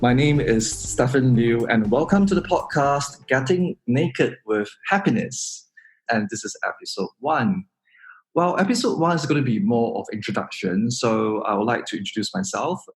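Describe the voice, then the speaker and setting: A man from Singapore, hosting a solo podcast introduction in an earnest, measured way. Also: mid-range voice, animated, soft background music, rough recording. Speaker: podcast host.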